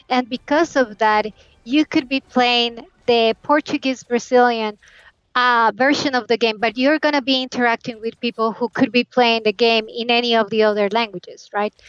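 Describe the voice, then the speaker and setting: A woman's voice: on the high side, clean tone, dry recording, muffled recording. A woman from Colombia whose first language is Spanish, explaining her work with enthusiasm as a guest. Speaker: localization guest. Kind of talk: casual interview talk.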